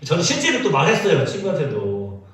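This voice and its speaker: A male pastor preaching a sermon in an animated, storytelling way, with many acted quotes. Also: a little high, fairly quick. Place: church sanctuary. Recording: echoey church sound from a distance.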